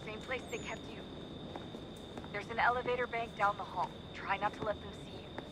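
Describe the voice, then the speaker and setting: A woman plays a practical teammate in a video game. She gives instructions matter-of-factly in a mid-range voice, with clear noise behind her. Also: mid-range voice, fairly even intonation, moderate pace, natural voice. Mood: focused caution. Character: practical female teammate giving mission guidance. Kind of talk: video game cutscene acting.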